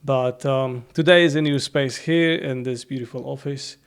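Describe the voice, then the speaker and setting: A man's voice: medium pitch, fairly even intonation, normal speed, smooth. A man hosting a podcast interview in an office, friendly, with loose phrasing and frequent laughs. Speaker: podcast host.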